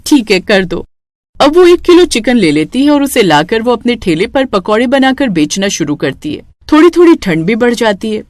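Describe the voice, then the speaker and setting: A woman's voice: on the high side, very animated, moderate pace, hardly any pauses, darkish tone, no echo. A woman narrating a scripted cartoon story as its storyteller.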